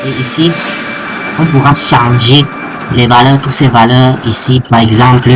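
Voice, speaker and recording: A man giving an unscripted step-by-step software tutorial, halting and often repeating his words. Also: mid-range voice, steady tone. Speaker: programming tutorial presenter. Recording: loud music behind the voice. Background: music.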